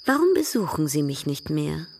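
An actress in a radio drama playing a proud baroness who speaks formally, with pointed, direct questions. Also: female voice, low voice, very animated, normal speed, a little mellow, a little hiss or hum. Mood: cool, probing. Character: proud, probing baroness, coolly direct and teasing.

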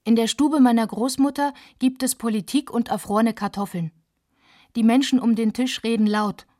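A girl witness in a postwar radio play, played by a woman. She has a lightly high voice, recorded dry, and tells things in plain, short sentences. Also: steady tone, moderate pace, no room sound, lightly acted. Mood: sober. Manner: plain short sentences, quoting shouted insults. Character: girl witnessing a heated postwar family quarrel. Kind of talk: literary radio play narration.

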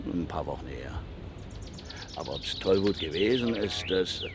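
Casting: laconic, folksy woodsman, very lively intonation, 1970s radio drama, clearly noisy recording. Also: low-pitched, unhurried. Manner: terse, folksy, colloquial. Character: gruff, laconic East German forestry worker, fond of teasing. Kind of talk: naturalistic 1970s radio crime drama acting.

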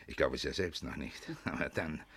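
An actor playing a blustering, suspicious Nazi-era farm official in a 1950s German radio play. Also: male voice, a bass voice, moderate pace, gravelly, a bit bright, breathy edge, full character voice. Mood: probing, scheming. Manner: blustering, officious, insistent questioning.

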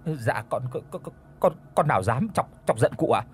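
A man's voice: medium pitch, animated, normal speed, a little hiss or hum, lightly acted. A man voicing a terrified young servant boy who stutters and repeats words timidly. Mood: frightened.

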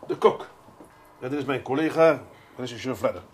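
An actor playing a seasoned, middle-aged police detective in a radio drama, measured and formally polite with a dry, ironic edge and a husky voice. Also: male voice, medium pitch, expressive, a little hiss or hum, lightly acted. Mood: courteous, formal. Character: seasoned senior police detective, patient, wry, probing. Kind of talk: Dutch radio drama acting.

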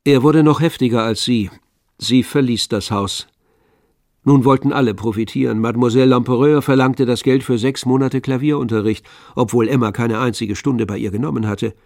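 A man's voice: mid-range voice, moderate pace, a little mellow, no room sound, clean studio sound. A man reading scripted literary narration for a radio play. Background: faint string music.

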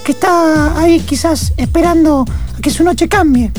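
A man's voice: extremely high pitch, normal speed, darkish tone, soft background music. A man with an Argentine accent playing a chatty, slightly clueless radio co-host in improvised radio theatre. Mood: cheerful.

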